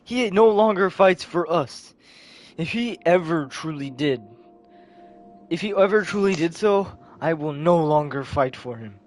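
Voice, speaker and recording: A man's voice: lively intonation, unhurried. A man voicing an earnest teenage alien girl, a warlord's daughter declaiming to soldiers in a comic retelling. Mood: resolute. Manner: high-pitched, earnest, declaiming. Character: teenage alien daughter rallying soldiers against her father.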